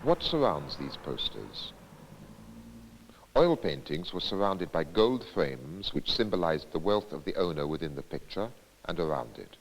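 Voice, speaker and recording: A man giving scripted documentary narration in a measured, deliberate, reflective way. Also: a bass voice, sing-song, a little hiss or hum, poor audio.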